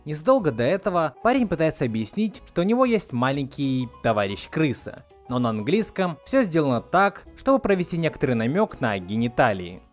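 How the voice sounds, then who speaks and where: A man's voice: fairly high pitch, sing-song, a little fast, hardly any pauses. A man narrating a scripted video essay as a host, with a commentator's delivery. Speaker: video host reviewing film dubbing. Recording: voice-over with music underneath. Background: background music.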